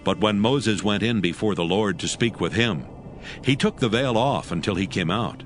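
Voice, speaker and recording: Solemn low-voiced male scripture narrator. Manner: measured, solemn. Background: faint music.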